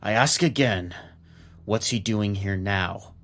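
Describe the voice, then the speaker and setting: An actor playing a gruff, commanding police captain, curt and sardonic, in a noir audio drama. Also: male voice, lowish voice, slow pace, fairly bright tone. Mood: gruff, impatient. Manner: curt, authoritative.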